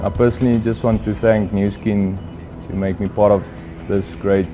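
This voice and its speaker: A man's voice: fairly low pitch, level tone, moderate pace. A man, a rugby player, giving an earnest testimonial in a promotional video. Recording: promo video over music.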